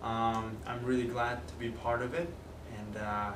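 A man's voice: mid-range voice, little pitch movement, measured pace. A young man from Kazakhstan with a Kazakh accent, a native Kazakh speaker, talking in a casual, hesitant way with many fillers and restarts as he gives a video lesson. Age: young adult. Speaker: language teacher. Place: classroom with whiteboard, indoors. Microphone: far camera. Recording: echoey room, distant mic, noisy.

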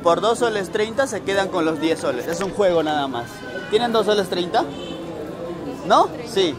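A man's voice: high voice, expressive, moderate pace. Young man, street vlogger, upbeat, coaxing, raised voice, busy crowded street at night. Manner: upbeat, coaxing strangers, laughing often. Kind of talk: street challenge vlog. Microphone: handheld camera following him. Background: crowd chatter and passing traffic.

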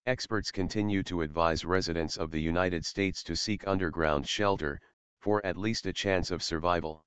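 A man's voice: deep voice, steady tone, normal speed, a bit bright, a little hiss or hum. A man reading a scripted emergency news bulletin as an announcer, on slightly dull audio.

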